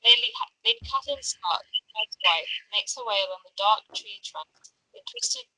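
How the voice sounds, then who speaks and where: A woman's voice: fairly high pitch, huge pitch swings, normal speed, pushed and bright, breathy edge, no echo, rough recording. A woman narrating a script table read, reading the stage directions aloud.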